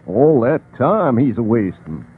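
Disapproving rustic gossip, rough-edged and lively, 1940s radio play. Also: male voice, mid-range voice, a little slow, exaggerated voice. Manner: folksy, disapproving. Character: skeptical rustic townsman gossiping. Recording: tinny 1940s radio broadcast.

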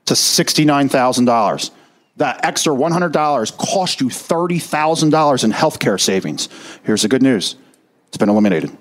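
A middle-aged man talks listeners through retirement money matters in an energetic, emphatic way. He slows right down to stress each key figure. His voice sits at an ordinary middle pitch for a man. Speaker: retirement financial advisor. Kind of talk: explanatory talk to listeners.

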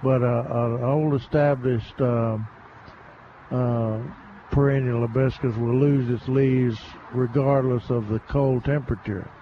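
Halting gardening expert on radio. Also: male voice, medium pitch, steady tone, muffled recording, a little hiss or hum. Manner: halting and explanatory, frequent restarts. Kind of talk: radio call-in chat, explaining plants.

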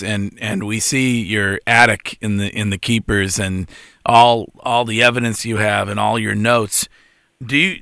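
A man hosting a podcast, asking slow, deliberate questions of a guest. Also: lowish voice, gravelly, pushed and bright, clear, resonant tone, no echo, studio quality. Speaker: podcast host. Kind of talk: interview questions.

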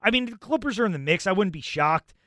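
Animated sports radio host, bright voice. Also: male voice, sits high, fast, no room sound, clean studio sound. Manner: animated, conversational, many fillers. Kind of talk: sports talk radio banter.

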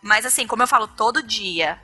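A Brazilian woman in her thirties chatting as a podcast guest, lively and talkative. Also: fairly high pitch, breathy edge, soft background music. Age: 30s. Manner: lively, chatty, quick-talking. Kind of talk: casual podcast chat.

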